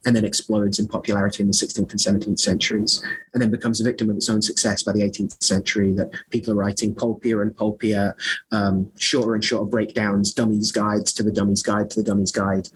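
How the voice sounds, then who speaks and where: A man's voice: lowish voice, slightly rough, rough recording. A man being interviewed as an author and scholar, talking in a rambling way with lots of fillers, restarts and self-mocking asides. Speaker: author and scholar of magic, podcast guest. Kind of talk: conversational interview.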